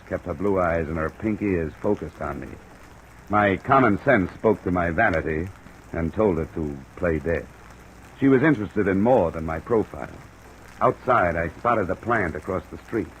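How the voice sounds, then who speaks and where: An actor playing a wry, hardboiled insurance investigator, dry and wisecracking, in an old-time radio drama. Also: male voice, low voice, normal speed, poor audio. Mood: wry narrating. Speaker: radio drama lead and narrator. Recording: old radio recording, steady hiss.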